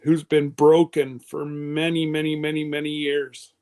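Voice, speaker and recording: A man's voice: fairly high pitch, completely flat delivery, measured pace, a little mellow. A man in his fifties hosting a live stream, chatting casually in a loose, rambling way. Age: 50s.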